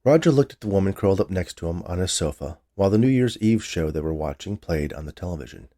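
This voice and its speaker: A man's voice: sits low, lively intonation, fast, mellow. A man narrating his own short story as a writer reading aloud.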